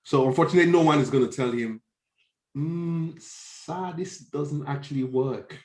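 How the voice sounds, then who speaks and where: A man with a Nigerian accent giving opinions in a casual podcast discussion, halting and repeating his phrases. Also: fairly high pitch, a little mellow.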